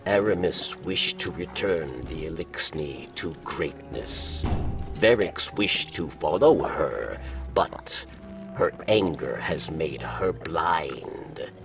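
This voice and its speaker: An actor playing a solemn, earnest alien rebel leader in a video game, growling in halting, formal phrases. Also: male voice, rumbling low, lively intonation, distant mic, over music, full character voice. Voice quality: gravelly growl. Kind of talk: video game character dialogue.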